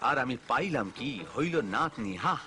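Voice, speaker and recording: A man voicing an excitable, grumbling village grandfather in a cartoon, with a Bangladeshi accent and a blustering, exclamatory delivery. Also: mid-range voice, normal speed, lightly acted. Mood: disgruntled. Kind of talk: cartoon voice acting.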